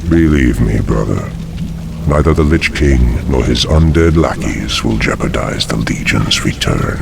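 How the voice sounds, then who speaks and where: A man voicing a suspicious, sinister demon lord with a gravelly, measured delivery in a video game cutscene. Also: very deep voice, noisy background, heavily acted. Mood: brooding.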